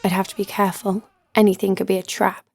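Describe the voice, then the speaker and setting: An actress playing a tough, sharp-tongued young woman fighter, narrating and speaking in an audio drama with a sardonic edge. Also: medium pitch, flat delivery, fast, vocal fry, noisy background, natural voice. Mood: narrating, wry. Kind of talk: audio drama first-person narration and dialogue.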